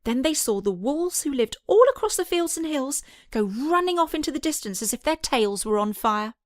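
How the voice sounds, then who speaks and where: A woman's voice: high voice, big pitch swings, moderate pace, dry recording, studio quality. A woman narrating a scripted children's story as an audiobook reader.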